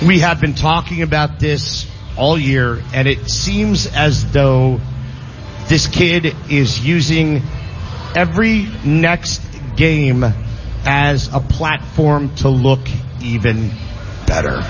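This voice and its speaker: Emphatic radio host, higher-pitched man, dull lo-fi live broadcast with music. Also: animated, takes it slowly. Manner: emphatic, repeats phrases for stress. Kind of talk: live sports radio banter.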